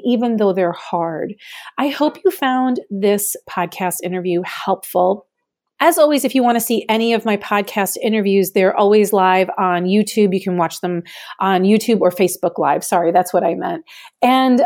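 Woman, raspy voice, podcast host and coach; candid, encouraging delivery. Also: mid-range voice, darkish tone. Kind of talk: solo podcast outro.

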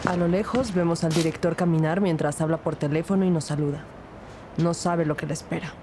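An actress playing an observant junior detective, in first-person audio drama narration and dialogue. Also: female voice, sits low, fairly even intonation, brisk, dark tone, a little hiss or hum, close to an everyday voice. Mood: measured, narrating.

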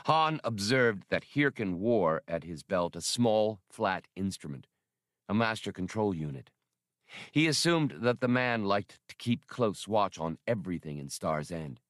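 Low-voiced, expressive male audiobook narrator. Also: a little slow, dry recording, clean studio sound. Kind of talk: scripted dramatic fiction narration.

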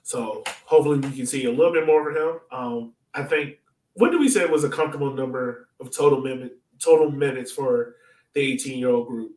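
Male podcast host, mid-pitched, casual sports chat, loose questioning style, roomy distant sound. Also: fairly quick, darkish tone. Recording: roomy echo, distant mic.

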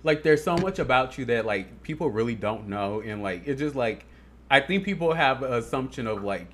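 A man's voice: mid-range voice, expressive, normal speed, fairly bright tone. Chatty man with a mid-range voice. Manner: chatty, laughs a lot, many fillers. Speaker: podcast co-host. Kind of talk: casual chat.

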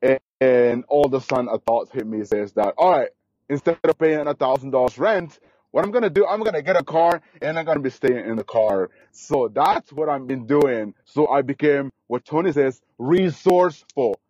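Earnest halting man from Guatemala. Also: mid-range voice, lively intonation, darkish tone, dry recording. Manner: earnest, repetitive, halting phrasing. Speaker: guest. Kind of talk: casual interview storytelling. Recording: dry, dull lo-fi sound.

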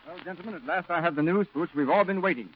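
Authoritative Marine colonel, formal and sober. He speaks rapidly and decisively, somewhat high in pitch. It sounds like a muffled wartime radio play. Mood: formal briefing. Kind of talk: 1940s radio acting. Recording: muffled old radio broadcast recording.

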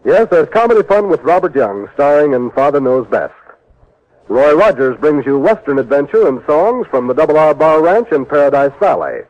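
A male radio announcer in the 1950s manner. His fairly high voice swings widely with theatrical, polished showmanship. The broadcast sounds muffled and old. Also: sing-song, moderate pace. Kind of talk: 1950s radio announcing, dramatic scripted promotion.

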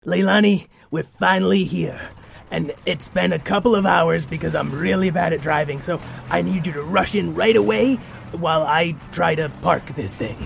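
A man's voice: on the high side, normal speed, vocal fry, a little hiss or hum. A man playing a bumbling, self-important grown-up detective in a kids' comedy audio drama, with a flustered, rambling, theatrical manner. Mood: bumbling, breezy.

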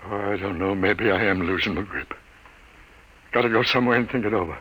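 An actor playing a gruff, sardonic newspaper city editor in his fifties, with wry, world-weary delivery in an old radio drama. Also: lowish voice, a little fast, a bit bright. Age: 50s. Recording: vintage radio drama recording.

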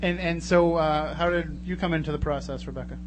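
Male talk radio host, fairly high voice, casual banter, noisy humming recording. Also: fairly even intonation, a little fast. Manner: joking, chatty. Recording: noticeable hum and background noise.

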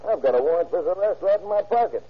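An impatient eager lieutenant. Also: male voice, high voice, animated, racing, husky. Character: impatient driven male police lieutenant, eager. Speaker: police lieutenant. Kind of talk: 1940s radio acting. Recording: dull old radio recording with music.

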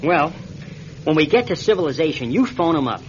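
An actor playing a practical, wry stranded pilot in a radio drama, with a folksy, coaxing and naturalistic manner. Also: male voice, on the high side, very animated, a little fast. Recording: dull, noisy radio drama recording.

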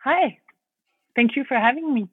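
Woman guest philosopher, thoughtful and halting, on a thin phone-quality line. Dead-dry sound. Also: sits high, expressive, slightly rough, no echo. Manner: thoughtful, searching for words. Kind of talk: conversational interview answers.

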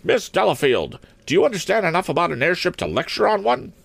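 A man's voice: sits high, huge pitch swings, normal speed, creaky, no echo, voice pushed up, full character voice. A man voicing a stern, indignant old maid school principal who talks in a haughty, commanding, formal way. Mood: bossy, formal.

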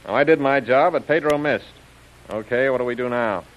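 A man's voice: mid-range voice, a little fast, lightly acted. A shrewd hired gunman from a vintage radio crime serial, played by a male actor. He is cool, tough and matter-of-fact, heard through a dull, aged recording. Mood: cool, businesslike. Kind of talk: old-time radio crime serial acting.

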